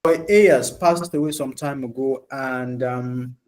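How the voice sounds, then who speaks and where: Man, podcast co-host, reflective, soft-spoken, mellow dark tone. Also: medium pitch, slow. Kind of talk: casual podcast discussion.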